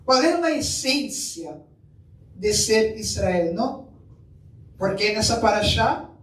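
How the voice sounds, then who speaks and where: Slow-speaking Brazilian preacher in an echoey room. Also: male voice, sits high, animated, a bit bright. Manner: mixes Portuguese and Spanish, asks the congregation questions. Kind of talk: sermon. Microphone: far from the mic. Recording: echoey room, mic far off, faint noise.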